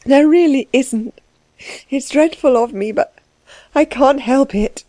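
A woman voicing a tearful, apologetic young woman in an audiobook story, halting and pleading as she cries. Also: high voice, warm tone, dry recording, voice pushed up. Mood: despairing. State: crying.

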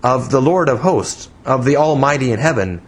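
A man's voice: medium pitch, lively intonation, normal speed, breathy edge, voice pushed up. A man voicing an earnest, awkward religious man who speaks bluntly and formally in a story reading. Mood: curt, solemn. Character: earnest, stiff, awkward religious man, curt and easily offended.

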